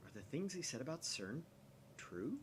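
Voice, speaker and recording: A man's voice: mid-range voice, big pitch swings, moderate pace, background noise, pitched above the performer's own voice, close to an everyday voice. A man voicing a grandiose, paranoid young mad scientist from a visual novel, in a theatrical, conspiratorial manner. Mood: brooding, suspicious.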